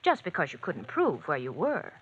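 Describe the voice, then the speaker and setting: An actress playing a rich young woman in an old radio drama, coy, sugary and quietly scheming. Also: deep voice, huge pitch swings, normal speed, breathy edge, full character voice. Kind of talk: 1940s radio acting. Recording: dull old radio recording, faint hiss.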